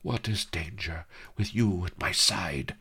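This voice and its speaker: A man's voice: medium pitch, big pitch swings, slow, creaky, fairly bright tone, pitched above the performer's own voice, full character voice. A man voicing a bloodthirsty, passionate pirate woman who speaks in a theatrical, exultant way.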